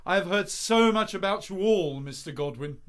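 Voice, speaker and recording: Man acting a lively, charming young poet. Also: high voice, moderate pace. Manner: courteous, theatrical, flowery. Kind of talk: radio play period acting.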